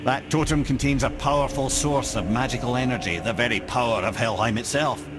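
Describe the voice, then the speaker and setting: An actor playing a wry, knowing older guide in a video game. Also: male voice, medium pitch, brisk, over music, natural voice. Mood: ominous, explaining. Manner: wry, explanatory, conversational. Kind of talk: dramatic video game acting.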